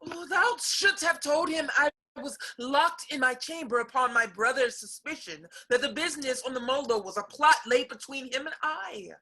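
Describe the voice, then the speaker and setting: A woman playing an anxious young Spanish gentlewoman in a period comedy, with hesitant, theatrical old-fashioned speech. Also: high voice, normal speed, hardly any pauses, poor audio. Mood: alarmed. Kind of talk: period stage comedy acting.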